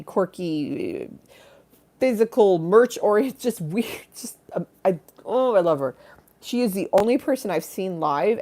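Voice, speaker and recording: Mellow, low-voiced woman, quirky and theatrical. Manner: quirky, giggly, bawdy and swearing; theatrical, drifting into asides and silly voices. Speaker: live stream host. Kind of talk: rambling comic livestream chat.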